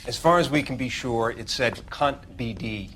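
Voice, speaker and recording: Actor as a dry, grim coroner, mid-pitched. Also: male voice, normal speed, a bit bright, a little hiss or hum, lightly acted. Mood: grim, clinical. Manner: dry, precise. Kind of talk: video game crime drama acting.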